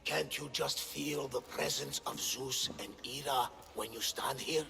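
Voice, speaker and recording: An actor playing an excitable, devout older Greek man with a gravelly voice and a theatrical, reverent manner in a video game. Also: medium pitch, measured pace, soft background music, exaggerated voice. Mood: devout, earnest. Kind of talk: video game dialogue acting.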